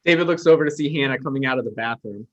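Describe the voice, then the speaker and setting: A man's voice: mid-range voice, racing. A man reading stage directions as the narrator of a scripted table read. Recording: dull, lo-fi sound.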